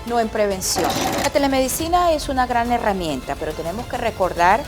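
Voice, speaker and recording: A middle-aged woman from Colombia, an epidemiologist answering interview questions in a measured, explanatory way. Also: on the high side, expressive, music in the background. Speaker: epidemiologist guest.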